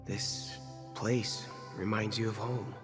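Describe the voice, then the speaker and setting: A man playing a weary, gentle train navigator in a game, speaking in a measured, halting way. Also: on the low side, level tone. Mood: solemn, tender. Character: weary, gentle older male train navigator bidding farewell. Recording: game audio, long reverb, loud music.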